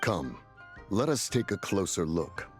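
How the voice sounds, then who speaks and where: A man's voice: deep voice, sing-song, unhurried, faint music behind. A man playing a stately, self-righteous immortal in a fantasy game, with formal, archaic diction. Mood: curious. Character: stately immortal male adeptus, solemn, self-righteous and dryly sly. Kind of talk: fantasy video game voice acting.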